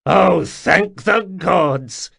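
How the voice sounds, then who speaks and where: A man's voice: mid-range voice, expressive, a little slow, vocal fry, a bit bright, breathy edge, full character voice. A man voicing a bitter, hardened warrior who speaks in a curt, scornful way.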